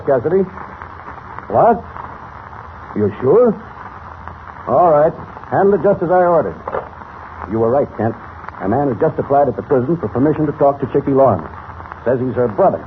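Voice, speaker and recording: A man's voice: mid-range voice, very animated, normal speed, pauses often, natural voice. A man playing an earnest, anxious police commissioner with an official manner in a 1940s radio serial. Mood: businesslike. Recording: scratchy, tinny old radio recording.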